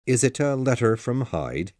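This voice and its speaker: A man playing a calm, businesslike lawyer who asks careful, formal questions. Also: medium pitch, normal speed, no room sound, studio quality, close to an everyday voice. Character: calm, businesslike middle-aged male lawyer. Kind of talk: audiobook dialogue.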